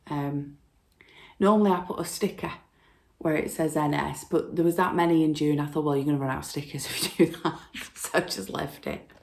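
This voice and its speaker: Hoarse, gravelly low-voiced woman. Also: a little mellow. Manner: chatty and rambling, lots of ums. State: hoarse, getting a cold. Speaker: flosstube host. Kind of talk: casual chat to camera.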